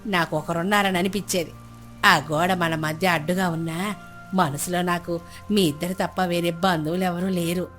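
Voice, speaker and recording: A woman's voice: lowish voice, fairly even intonation, normal speed, fairly bright tone, voice pushed down, natural voice. A woman voicing a lonely, kind-hearted elderly village neighbour in a moral story, with a homely, reassuring way of talking. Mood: wistful. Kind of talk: moral storybook character dialogue. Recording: music bed under the voice.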